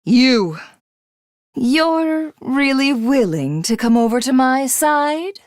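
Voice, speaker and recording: A woman playing a megalomaniac cannibal alien villainess in a video game, haughty, menacing and theatrical, with a breathy rasp. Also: sits high, animated, slow, no room sound, clean studio sound. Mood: haughty curiosity.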